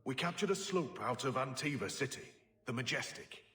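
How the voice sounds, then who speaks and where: Casting: a man to play a cursed stone pirate deckhand for a game, excitable, rustic, sharp-toned, heard distantly in an echoing space. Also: mid-range voice, normal speed, natural voice. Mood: earnest storytelling. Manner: rustic sailor talk, excitable. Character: male pirate crewman turned to stone, pleading, excitable. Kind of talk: video game voice acting. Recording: roomy echo, distant from the mic.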